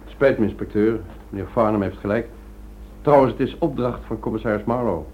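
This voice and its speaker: Actor as fast, formal junior policeman. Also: on the low side, narrow, tinny audio, natural voice. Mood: dutiful. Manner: formal, apologetic but firm. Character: dutiful, correct junior policeman. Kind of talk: radio drama acting. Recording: phone-thin old radio recording, faint noise.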